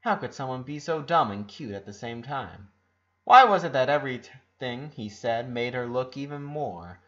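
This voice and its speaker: Male narrator for audiobook-style fiction, mid-pitched, stressing words dramatically, on a slightly muffled low-fidelity recording. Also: expressive, moderate pace, fairly bright tone. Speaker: narrator reading fan fiction aloud. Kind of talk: scripted narration, audiobook-style story reading. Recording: dull lo-fi recording.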